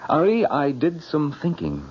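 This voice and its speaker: An actor playing a nervous, evasive gambler about to marry, flippant and defensive, in old-time radio drama style. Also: male voice, on the high side, huge pitch swings, a little slow, slightly rough, heavily acted. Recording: dull old radio recording.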